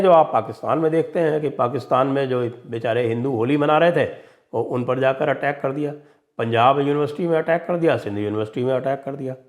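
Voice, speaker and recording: A man delivering opinionated political and religious commentary in an emphatic, sarcastic and mocking manner. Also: fairly high pitch. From India. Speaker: commentator and show host.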